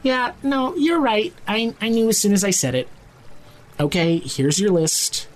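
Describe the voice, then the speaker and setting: A man's voice: high voice, measured pace. An actor playing an exasperated but caring man, blunt, sardonic and casual, in naturalistic audio drama dialogue. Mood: sardonic, weary. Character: Nico, exasperated, sweary, caring male friend. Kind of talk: fiction podcast acting, naturalistic dialogue.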